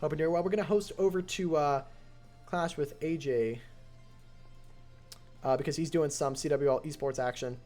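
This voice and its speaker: A young man with a voice going hoarse, calling a mobile strategy game match on his live stream. His play-by-play is excitable and builds to near shouts at close finishes. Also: on the high side, moderate pace, long pauses, soft background music. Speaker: esports caster and streamer.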